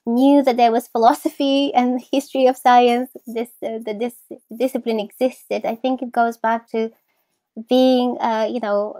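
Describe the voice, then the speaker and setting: A woman's voice: on the high side, mellow, no room sound. A woman with a Bulgarian accent giving thoughtful, enthusiastic, reflective answers as an academic interview guest. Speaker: guest, philosopher of science.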